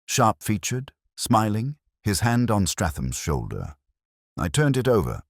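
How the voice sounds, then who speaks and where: A man narrating a detective story in the first person, with a measured, literary, reflective delivery and a husky voice. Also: lowish voice, wildly swooping pitch, no room sound, clean studio sound.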